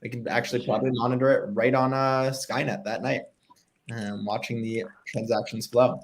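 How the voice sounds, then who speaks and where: A man's voice: medium pitch, fairly even intonation, a little hiss or hum. Young male host, upbeat and chatty, home video call. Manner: upbeat, fillers, quick reactions. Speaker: livestream host. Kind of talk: casual interview over video call. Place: home room with framed pictures.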